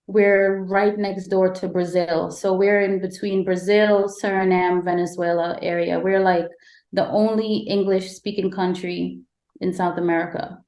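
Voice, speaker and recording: A woman from Guyana answering as a podcast guest in a measured, explanatory, conversational way. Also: on the low side, level tone, darkish tone. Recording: echoey room.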